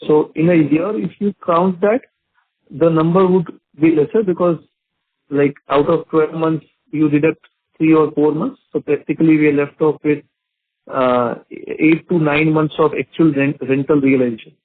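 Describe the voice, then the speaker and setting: A man's voice: on the high side, steady tone, normal speed. A man, a company executive patiently answering an analyst's questions on an earnings call, saying "sir" often. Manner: polite, calls the analyst sir, patient explanations with fillers. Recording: dry phone-line conference call.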